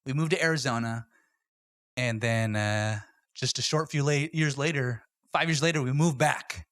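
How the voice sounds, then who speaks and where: A man's voice: mid-range voice, normal speed, fairly bright tone, no room sound, clean studio sound. A man in his twenties from California, co-hosting a podcast and running the game, playful and swearing casually. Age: 20s. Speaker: podcast co-host and game master. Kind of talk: casual chat.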